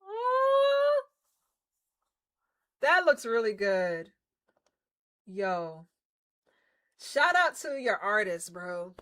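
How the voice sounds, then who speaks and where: Animated, bright-voiced woman streamer. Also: fairly high pitch, drawn-out words, pauses often. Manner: animated, slangy, teasing, chatty with viewers. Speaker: streamer playing a visual novel. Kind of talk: casual livestream reaction and game commentary.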